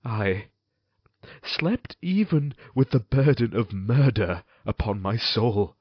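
An actor playing a guilt-ridden husband and murderer in a gothic audio drama, speaking formally and theatrically with an outward show of smug composure. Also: male voice, on the low side, expressive, slightly rough, dry recording, lo-fi sound, exaggerated voice. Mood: grim, calm. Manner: measured, theatrical, formal old-fashioned diction.

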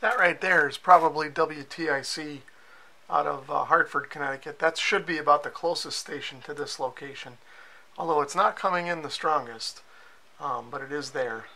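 A man giving a casual, low-key and hesitant hands-on product walkthrough as a reviewer in a cellar. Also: moderate pace, vocal fry, bright, forceful tone. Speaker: radio reviewer. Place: cellar with concrete walls.

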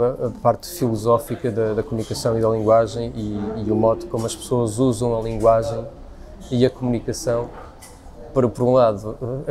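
A man in his forties with a European Portuguese accent talks fast and reflectively in a casual interview, often restarting his phrases as he thinks aloud. Café chatter murmurs clearly behind him, and the recording is close and conversational. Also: fairly low pitch. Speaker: interview guest. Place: busy café indoors. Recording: café crowd noise behind. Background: café crowd chatter.

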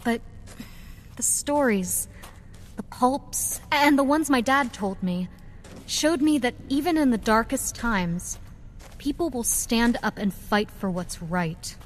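An actress playing a spirited, curious young nurse and vigilante in an audio drama, with a wry, frank manner. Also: female voice, a little high, animated, slow pace, a little hiss or hum. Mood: earnest, reminiscing.

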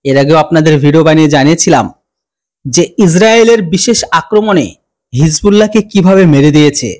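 A man's voice: on the high side, a little mellow. A young man presents news commentary as the host of an online reaction video. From India.

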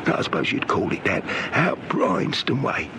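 An actor playing a garrulous old hobo informant in an old radio drama, eager and halting, repeating his words in colloquial speech. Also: fairly low pitch, very animated, pushed and bright, heavily acted. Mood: confiding, rambling. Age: older. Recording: noisy vintage radio drama recording.

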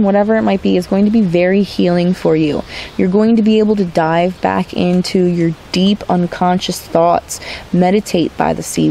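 A woman gives a tarot reading outdoors among trees, her voice gravelly with fry. The tone is mellow and dark, and she speaks in a reassuring, drawn-out way, often checking in with "okay?". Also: mid-range voice, low-quality recording. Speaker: tarot reader. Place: outdoors in a forest.

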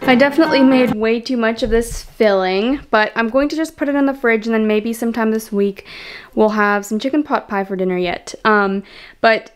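Young woman, from Canada, chatty and upbeat, slightly high voice, soft music behind. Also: fairly quick. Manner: chatty, upbeat, with small laughs and ums. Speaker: lifestyle vlogger. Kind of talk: casual kitchen voice-over. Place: home kitchen. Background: soft background music.

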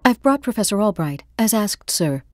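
An actress playing a poised, deferential academy assistant in a video game, speaking formally. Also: female voice, medium pitch, very animated, normal speed, natural voice. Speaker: headmaster's assistant. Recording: game music behind the voice.

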